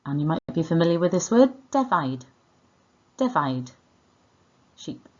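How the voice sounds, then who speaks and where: A Welsh woman speaks as a teacher, in a fairly low voice. She gives clear instructions for an online language lesson and repeats words so learners can follow. The recording is slightly dull and lo-fi. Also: very animated, measured pace, a little mellow.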